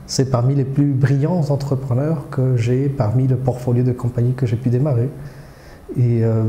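Dark-voiced man with halting speech. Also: medium pitch. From South America. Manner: hesitant, many fillers and restarts; earnest and persuasive, gestures with hands. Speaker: startup accelerator director. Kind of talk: studio interview answers. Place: small indoor studio, seated before a screen. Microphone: lapel. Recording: roomy echo.

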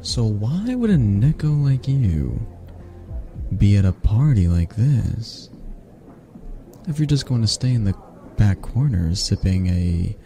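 Guy playing a teasing half-dragon college dude, flirty asmr roleplay, low voice n kinda gravelly. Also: sing-song, warm tone, faint music behind, full character voice. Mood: friendly, flirty. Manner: teasing, sardonic, unhurried. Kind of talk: intimate ASMR roleplay acting.

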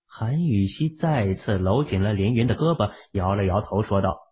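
A man's voice: fairly low pitch, expressive, normal speed, husky. A man narrating fiction from a script as an audiobook storyteller. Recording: phone-like, band-limited audio.

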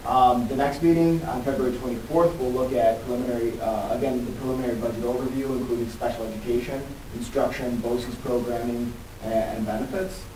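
A man giving a formal, procedural presentation as a budget official at a meeting, in a halting way. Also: mid-range voice, steady tone, mellow. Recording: echoey, distant mic, faint noise.

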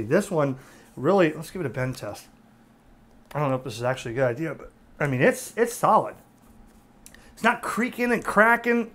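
A man giving a casual, unscripted product review, talking conversationally and haltingly as he thinks aloud. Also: fairly high pitch, fairly bright tone. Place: indoors at a table.

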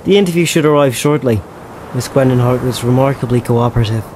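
A man acts a male intelligence agent in a sci-fi audio drama. The voice is mellow and dark, cool, dry and a little smug. There is faint ambience behind it. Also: medium pitch, quick pace, a little hiss or hum, lightly acted. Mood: smug, questioning. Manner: deadpan, clipped.

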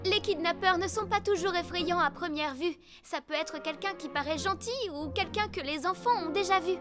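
A caring female teacher with a high voice, explaining clearly to children. It is a cartoon dub with music behind her. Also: moderate pace. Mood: cautioning. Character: caring, instructive female schoolteacher.

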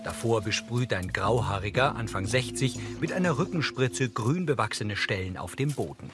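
A man's voice: medium pitch, expressive, moderate pace, over music. A man reading scripted audio description narration for a television drama.

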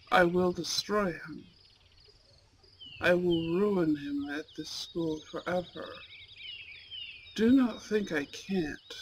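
A voice actor plays a suave, possessive young bad-boy boyfriend in an ASMR roleplay. He speaks very slowly and formally, with deliberate care. Crickets and nature ambience sit clearly behind him. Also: mid-range voice, slight background noise. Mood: cold, threatening. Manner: slow, formal, deliberate phrasing, velvety menace. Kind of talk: ASMR romance roleplay, intimate scripted monologue. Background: crickets and outdoor nature sounds.

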